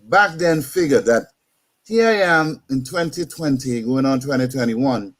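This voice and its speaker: A man's voice: mid-range voice, lively intonation, measured pace. A man in his fifties from Trinidad with a Trinidadian accent, telling stories on a radio talk show and often repeating words as he starts a sentence.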